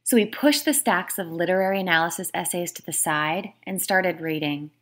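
A woman's voice: lowish voice, moderate pace. A woman who is an author, reading her own book aloud as scripted narration.

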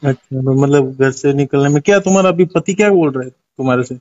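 A man's voice: mid-range voice, fairly even intonation, quick pace, warm tone. A man chatting casually in a private phone conversation, heard on a muffled lo-fi call recording.

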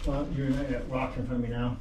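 A calm man talks from off-mic inside a cave, and his voice rings off the rock with noise around it. He gives steady directions and plain remarks. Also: medium pitch, quick pace, echoey room, far from the mic. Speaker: fellow cave explorer. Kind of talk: casual chat. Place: small cave.

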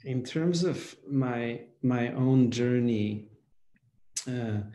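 A man in his forties from Poland, a guest telling his life story in a halting way, with many fillers. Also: medium pitch, warm tone, reverberant, rough recording. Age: 40s. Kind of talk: reflective conversation.

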